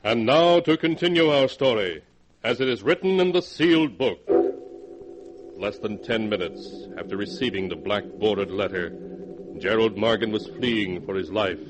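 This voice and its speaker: A man's voice: lowish voice, animated, moderate pace, lightly acted, poor audio. An actor playing an eerie male storyteller, narrating dramatically in 1940s radio horror style. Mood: ominous, dramatic. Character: eerie male storyteller reading from a forbidden book. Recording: vintage radio recording with music underneath.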